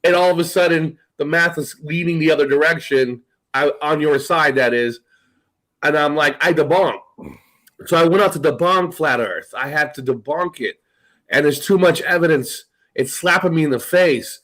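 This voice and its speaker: Animated, swearing male podcast host. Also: fairly high pitch, normal speed, poor audio. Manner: animated, swears, laughs often. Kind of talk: casual chat.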